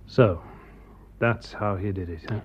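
An actor playing a plain-spoken, sympathetic English police inspector in a radio drama. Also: male voice, fairly low pitch, unhurried, highs cut off, slight background noise, natural voice. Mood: sober, sympathetic.

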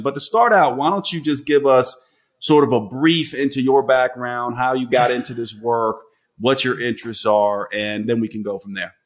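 A man hosting a podcast, a clinician asking long, winding, earnest questions with restarts. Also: mid-range voice. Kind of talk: interview questions.

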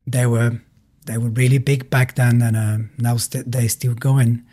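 Musician guest, male, middle-aged, Bulgarian accent. Relaxed, warm tone, medium pitch, searches for words while recounting memories. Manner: halting, searching for words in non-native English. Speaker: musician being interviewed. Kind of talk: casual interview, personal storytelling.

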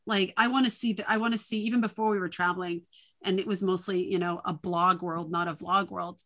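A woman's voice: lowish voice, fairly even intonation, brisk, a bit bright. Chatty middle-aged New England woman. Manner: chatty, laughs often. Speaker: guest. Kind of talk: casual conversation.

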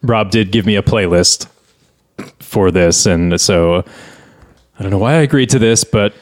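A man's voice: on the low side, a little slow. A man hosting a comedy podcast, giving casual, joking critique in conversation. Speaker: podcast host. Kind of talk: casual comic critique and banter.

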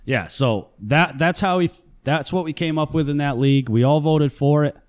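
A man's voice: on the high side, normal speed. Easygoing man co-hosting a chat. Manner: easygoing, agrees often, swears casually. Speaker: co-host. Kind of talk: casual chat.

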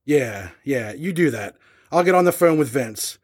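A man's voice: medium pitch, expressive, unhurried, darkish tone. A man acting in an audio horror drama as an urgent, slangy rock musician desperate to hold his band together. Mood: desperate, determined.

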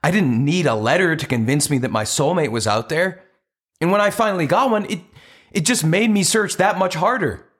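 A persistent romantic man with a breathy, husky edge to his voice. He sounds sincere and imploring. The acting is natural and intimate, as in an audio play. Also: on the high side, normal speed, a bit bright. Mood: impassioned, pleading. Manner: sincere, pleading.

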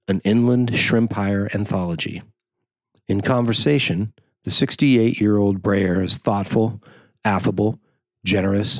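A man's voice: on the low side, dry recording. A man reading a written magazine-style profile aloud as a journalist narrator.

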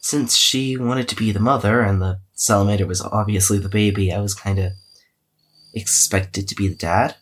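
A man's voice: on the low side, fairly even intonation, normal speed, fairly bright tone. An actor playing a weary, self-effacing young man who hesitantly records a wry, cynical audio diary in a drama podcast. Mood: awkward, musing. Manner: hesitant, musing, wryly cynical.

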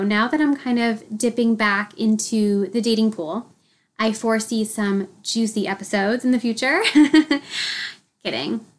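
A woman's voice: on the high side, a bit bright. A woman hosting a solo podcast, slightly congested, speaking in a reflective, candid way with light laughs. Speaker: podcast host.

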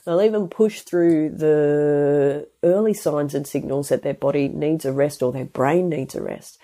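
A woman's voice: sits low, measured pace, mellow. A woman with a stuffy head cold, hosting her podcast in a chatty, rambling way full of restarts and asides. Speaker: podcast host and health practitioner. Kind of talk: casual solo podcast talk.

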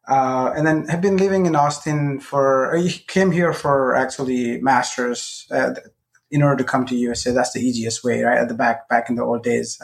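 A man in his forties from Nepal, a podcast guest talking in a friendly way, pausing often with fillers. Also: medium pitch, vocal fry. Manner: friendly, halting with many fillers. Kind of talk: casual interview chat.

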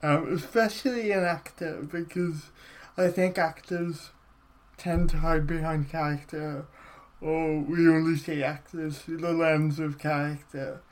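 A man with a fairly high voice leads a relaxed interview, asking reflective questions and often murmuring agreement. His talk is calm and considered. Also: measured pace. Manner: thoughtful, reflective, warm affirmations. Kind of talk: casual interview.

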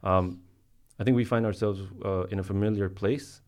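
Male podcast guest, Colombian-born native Spanish speaker, very low pitch, halting conversational delivery. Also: fairly even intonation. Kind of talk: casual podcast interview talk.

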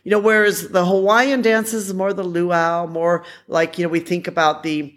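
A woman's voice: fairly low pitch, fairly even intonation, normal speed. American woman explaining in a conversational way. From United States. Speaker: podcast host. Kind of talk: informal explanatory podcast monologue.

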